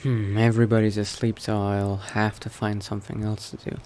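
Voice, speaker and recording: A man narrating his role-play gameplay in character, musing to himself in an unhurried, gravelly voice. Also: on the low side, little pitch movement, a little mellow. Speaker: gaming video host, role-playing player.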